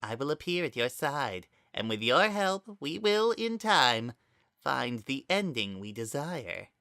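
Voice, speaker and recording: A narrator voicing a menacing, fervent child spirit that wears a monster's skin, speaking in a slow, theatrical, hollow, coaxing monologue for a dramatic story reading. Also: medium pitch, sing-song, pushed and bright, no echo, clean studio sound, voice pushed up, exaggerated voice. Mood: coaxing, zealous. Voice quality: maddened, hollow tone. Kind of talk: dramatic reading of fan fiction.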